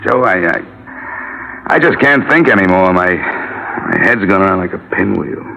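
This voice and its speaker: Hard-boiled American private eye, forceful voice. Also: male voice, low voice, big pitch swings, measured pace, gravelly, a little hiss or hum, full character voice. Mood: strained, despairing. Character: framed tough American private investigator under murder charge. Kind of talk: 1940s-50s radio detective drama acting. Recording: dull old radio broadcast.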